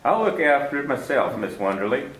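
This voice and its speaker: A swooping, very lively voice, with a man playing a jovial detective partner who is quick to flirt and rushes his lines. His pitch sits in the middle. The sound is echoey, in the manner of 1940s radio drama. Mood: brash.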